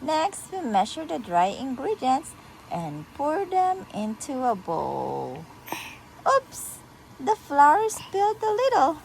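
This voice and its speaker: A woman reading a children's picture book aloud to a toddler in an animated, sing-song, encouraging way. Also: high voice, slow, gravelly, slight background noise, rough recording.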